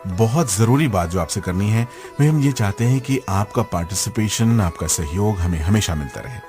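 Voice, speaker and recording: A man's voice: fairly low pitch, expressive, moderate pace, a little mellow. A man hosting a radio show, presenting from a script and addressing the listeners directly. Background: music.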